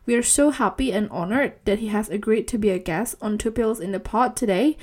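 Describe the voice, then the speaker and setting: A woman's voice: mid-range voice, fairly quick, darkish tone, slightly rough. A woman hosting a podcast interview, giving prepared introductions and questions. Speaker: podcast host.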